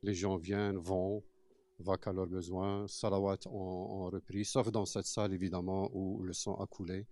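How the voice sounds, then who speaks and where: A man's voice: sits low, little pitch movement, moderate pace, warm tone, no echo. A man speaking as cofounder of a cultural centre, giving an earnest testimony in a mosque and mixing Arabic phrases into his French.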